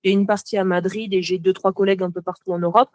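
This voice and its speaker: A woman's voice: mid-range voice, little pitch movement, very fast, darkish tone, dry recording. Young french woman rambling casually, lots of euh and restarts, dry close sound. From France. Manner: chatty, many fillers and self-restarts. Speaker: guest, motion designer. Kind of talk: casual podcast conversation.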